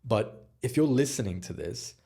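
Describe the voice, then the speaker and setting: A man hosting a solo advice podcast as a communication coach, speaking directly and motivationally, deliberately letting his volume and pace rise and fall for emphasis. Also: mid-range voice, lively intonation, gravelly, mellow, slightly rough.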